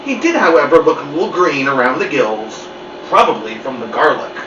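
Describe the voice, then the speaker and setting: A man narrates a children's story with a lively, expressive rise and fall. His voice sits slightly high for a man. The recording is muffled and roomy, as if he is a bit away from the mic. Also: fairly quick, far from the mic, noisy background. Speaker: story-time reader. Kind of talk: animated children's book narration.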